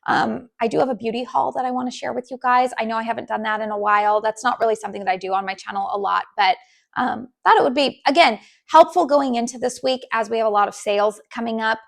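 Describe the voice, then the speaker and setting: A woman who hosts a candle channel, from the United States, chatting casually to her viewers with a raspy voice, with many ums and restarts. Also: a little high. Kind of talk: casual chat to camera.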